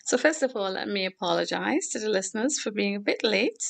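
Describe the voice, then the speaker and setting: Gravelly middle-aged woman with Trinidadian accent. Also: medium pitch, expressive, fairly quick. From Trinidad and Tobago. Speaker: show guest, philanthropist. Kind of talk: conversational interview talk.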